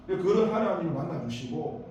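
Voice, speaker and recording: A man's voice: a little high, quick pace, far from the mic, highs cut off, slight background noise. Man with a Daegu accent preaching in an echoey hall. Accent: Daegu Korean. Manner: animated storytelling with bursts of emphasis and rambling asides. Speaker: pastor. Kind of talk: youth service sermon. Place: church sanctuary.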